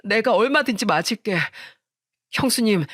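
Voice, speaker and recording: A woman's voice: mid-range voice, animated, moderate pace, a bit bright, no room sound. A woman plays a good-natured younger brother in an audio family drama. He speaks with earnest emphasis. The sound is dry. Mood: apologetic. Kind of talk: dramatized audio story reading.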